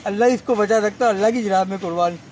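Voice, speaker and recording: A middle-aged man who owns a goat farm, answering interview questions plainly and a little haltingly, recorded outdoors at night on the host's camera. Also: sits high, a little mellow, a little hiss or hum, poor audio.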